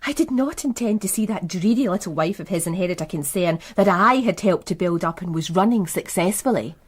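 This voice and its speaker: A woman's voice: lowish voice, lively intonation, brisk, breathy edge. Cool, calculating seductive businesswoman. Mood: cool, defiant. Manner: poised, guarded, knowing. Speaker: actress in a radio crime drama. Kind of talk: naturalistic radio drama dialogue.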